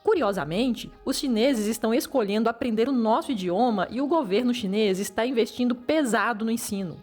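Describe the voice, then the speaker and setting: A woman teacher reading a formal, measured educational narration, heard as played-back video audio. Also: on the high side, darkish tone, soft background music. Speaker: language teacher.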